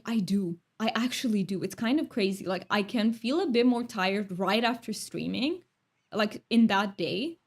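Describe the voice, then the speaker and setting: A young woman from Romania talks casually with her stream chat. Her speech is thoughtful and chatty, broken up by light laughs. Her voice sits at a middle pitch for a woman. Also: a little mellow.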